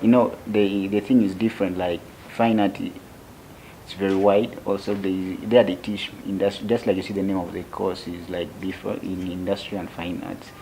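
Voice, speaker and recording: Ugandan man in his twenties, interview guest; very low, gravelly voice, slow and soft-spoken, faint hiss behind. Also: level tone, poor audio. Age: 20s. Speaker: young tech founder, interview guest. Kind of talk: interview answers.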